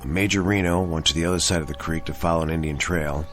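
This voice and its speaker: An actor playing a seasoned, level-headed army tank sergeant in a radio drama, measured and plain-spoken. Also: male voice, a bass voice, steady tone, soft background music. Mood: grave, recounting.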